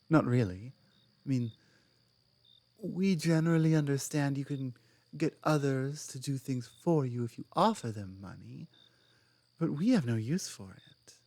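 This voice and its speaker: A woman acting as a proud orc woman from a distant tribe, made for an ASMR audio roleplay. She speaks very slowly and deliberately, with measured pauses. Her manner is earnest, firm and stubborn. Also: rumbling low, warm tone, dry recording, exaggerated voice. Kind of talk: ASMR audio roleplay, one-sided dialogue.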